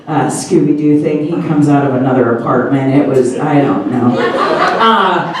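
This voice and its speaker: A woman's voice: deep voice, unhurried, over a noisy crowd. A woman telling a true story on stage with a wry, digressive, laughing manner and the odd swear. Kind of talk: live personal storytelling. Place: comedy theater stage. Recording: echoey theater from far off.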